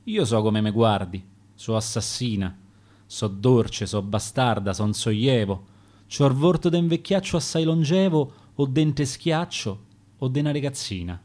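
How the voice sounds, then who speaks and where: A man's voice: mid-range voice, animated, a little slow, a little mellow, natural voice. A man with a Roman accent voicing Death as a blunt, indifferent, foul-mouthed figure in a recited verse tale. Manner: crude, shrugging, matter-of-fact.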